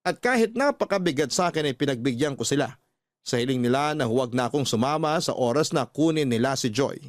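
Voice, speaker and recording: A man's voice: mid-range voice, lively intonation, a little fast, dry recording, clean studio sound. A man hosting a radio show, reading a listener's letter as a heartfelt, sentimental story. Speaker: radio host narrator.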